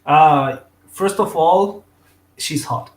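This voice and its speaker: A man with an Austrian accent chats eagerly about comics, his voice sitting a little high. He loses his place now and then, starts over and laughs easily. The room around him gives a roomy echo. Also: extremely slow. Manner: enthusiastic, fillers and restarts, laughs easily. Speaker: guest. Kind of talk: casual chat about comics.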